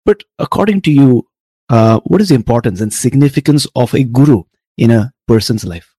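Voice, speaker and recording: A man's voice: mid-range voice, expressive, a little fast, dark tone, husky, no echo. A man hosting an interview, from Canada, asking thoughtful questions and affirming his guest often. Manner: encouraging listener with frequent short affirmations. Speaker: podcast host.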